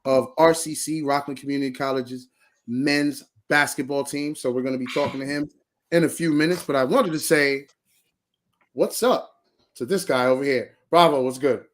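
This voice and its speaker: A man's voice: mid-range voice, moderate pace. A man co-hosting a casual basketball talk show, upbeat and welcoming, thanking listeners and bringing on a guest. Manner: upbeat, talks over his co-host, says thank you often. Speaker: co-host. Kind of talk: casual podcast chat.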